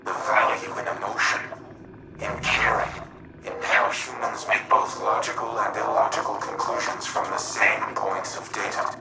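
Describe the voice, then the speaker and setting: An actor playing an ancient male warmind AI, speaking in a measured, formal, grave way with a gravelly voice. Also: on the low side, steady tone, very noisy. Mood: remorseful. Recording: game audio in a reverberant space with loud music.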